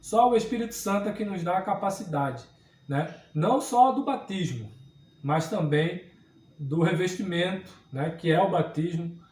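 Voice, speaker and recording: A man with a high-pitched voice gives an earnest Bible lesson. He teaches at an unhurried pace and keeps checking in with his class. He is recorded from some distance in an echoey room, with a faint hum of ambience behind him. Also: expressive, darkish tone. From southern Brazil. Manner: earnest teaching, frequent tag questions. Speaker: Sunday school teacher. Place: church room.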